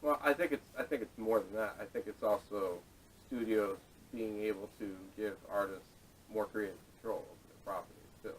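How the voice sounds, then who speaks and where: A man hosting a casual podcast discussion, speaking haltingly with repeated words, picked up by a distant camera microphone. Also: on the low side, fairly even intonation, a little hiss or hum. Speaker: podcast host. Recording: distant, salvaged camera audio.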